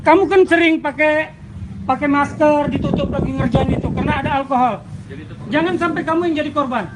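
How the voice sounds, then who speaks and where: A man shouting abusively at someone during a street confrontation. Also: very high-pitched, fairly quick, heavy background noise.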